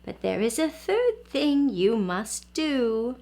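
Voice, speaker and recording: A woman voicing a gentle, determined elderly lady from a children's storybook, in an expressive, earnest way. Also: fairly high pitch, slow pace, full character voice. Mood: gently instructive. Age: older.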